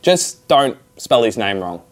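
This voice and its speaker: Animated, teasing man. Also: medium pitch, unhurried. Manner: mock-stern, teasing. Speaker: comedy segment presenter. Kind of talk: scripted tongue-in-cheek presenting.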